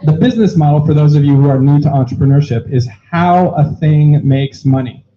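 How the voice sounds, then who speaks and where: A man facilitating an event, explaining the rules to an audience in an upbeat, joking way. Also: a little high. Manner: upbeat, joking, quick asides. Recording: dull lo-fi sound.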